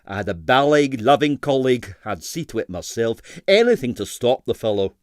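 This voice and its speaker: A man's voice: medium pitch, sing-song, brisk, darkish tone, no room sound, clean studio sound, close to an everyday voice. A man voicing a sour, disdainful doctor who loathes bad ballet, emphatic and blandly scathing, for an audiobook. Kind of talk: audiobook character voice.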